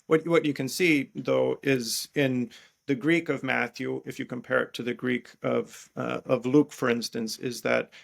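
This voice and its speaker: A man's voice with a gravelly fry underneath, at a middling pitch. He answers like an academic guest in an interview, feeling his way through each explanation and doubling back on words as he rephrases them. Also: steady tone. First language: English. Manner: halting, thinking aloud, repeating words as he rephrases. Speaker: guest biblical scholar and priest.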